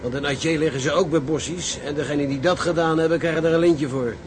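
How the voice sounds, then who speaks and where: An actor playing a cynical, down-to-earth sailor with a blunt, sardonic way of talking in a maritime drama. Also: male voice, fairly high pitch, fairly even intonation, fast talker, background noise, close to an everyday voice. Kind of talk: dramatic seafaring acting.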